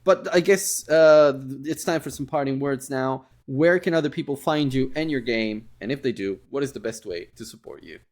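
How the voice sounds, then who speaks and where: A man hosting a podcast in a casual interview style, speaking haltingly and often repeating words as he goes. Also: mid-range voice, darkish tone. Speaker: podcast host.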